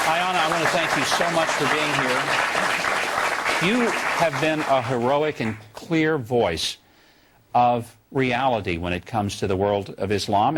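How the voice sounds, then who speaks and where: Husky-voiced male TV host. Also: mid-range voice, a bit bright. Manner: polished host introductions and leading questions. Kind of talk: TV news interview.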